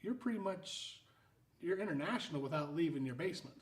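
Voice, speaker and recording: An animated, joking interview host trades questions with a guest, words tumbling over restarts. The voice sits mid-range and rings in a roomy, echoey space. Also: mid-range voice, a little fast. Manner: animated, jokey, stumbling restarts. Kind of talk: casual interview chat.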